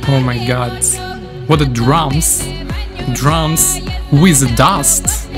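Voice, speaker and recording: A young man with a Ukrainian accent, hosting a casual music reaction, enthusiastic and quick to exclaim, speaking into a studio mic in a small room. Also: medium pitch. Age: young adult. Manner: enthusiastic, exclaiming and laughing often. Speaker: YouTube reaction host. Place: small indoor room with a patterned curtain. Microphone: studio microphone in front of him. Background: the song he is reacting to playing.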